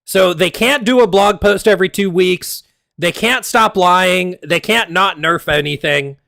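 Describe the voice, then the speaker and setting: A man streaming and ranting casually, heated and exasperated, swearing often, his voice going hoarse. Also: high-pitched, a little slow, pushed and bright, no echo, studio quality. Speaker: game streamer. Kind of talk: casual livestream rant.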